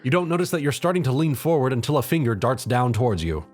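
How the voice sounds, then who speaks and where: A man's voice: mid-range voice, sing-song, fast talker, a little mellow, soft background music. A man narrating a comedic story in the second person, as a dramatic reader.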